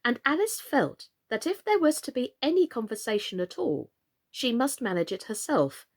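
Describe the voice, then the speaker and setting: A woman reading a children's story as an expressive narrator. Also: on the high side, normal speed. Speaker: storyteller and reader.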